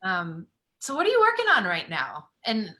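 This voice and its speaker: Bright, enthusiastic woman host. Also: mid-range voice, huge pitch swings, moderate pace. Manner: enthusiastic, affirming, asks long questions. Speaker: host, coach. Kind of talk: casual interview conversation.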